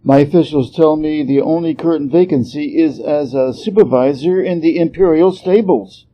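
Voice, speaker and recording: A man playing a stern, aloof celestial emperor in a storybook reading, formal and measured, on a slightly muffled recording. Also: fairly high pitch, close to an everyday voice. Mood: cool, formal. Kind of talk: storybook character voice.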